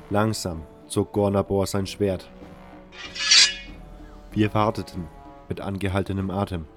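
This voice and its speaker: A man's voice: on the low side, darkish tone. A man reading scripted first-person fantasy narration as the narrator of an audio drama. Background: music.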